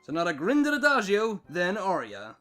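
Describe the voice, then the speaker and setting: A man narrating a scripted story with dramatic, expressive delivery. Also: high-pitched, normal speed. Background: background music.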